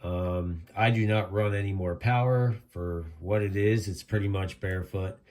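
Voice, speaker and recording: Guy with a lowish voice rambling thru a casual gear review, hobbyist type, into it. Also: steady tone, moderate pace, a little mellow. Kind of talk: casual product demonstration.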